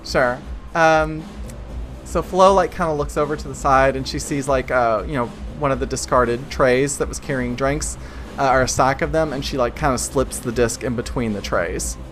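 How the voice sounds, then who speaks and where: A woman game master improvising narration for a tabletop game in a halting, conversational way. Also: extremely low pitch. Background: soft music.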